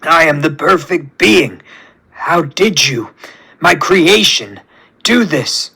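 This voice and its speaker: A man's voice: high voice, sing-song, bright, forceful tone, full character voice, poor audio. Man acting grandiose, ranting villain who thinks he's god. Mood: stunned, defeated. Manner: grandiose, ranting, drawn-out commands. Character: megalomaniac male villain scientist who calls himself god.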